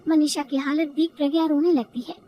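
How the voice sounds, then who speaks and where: A woman's voice: high voice, flat delivery, fairly quick, muffled recording. A woman reading a scripted children's moral story as narrator.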